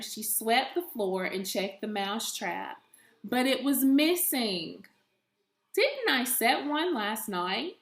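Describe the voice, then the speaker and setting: Slow-paced woman reading a storybook, echoey room. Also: medium pitch, a bit bright. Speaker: elementary school teacher reading aloud. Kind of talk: storybook read-aloud for young children.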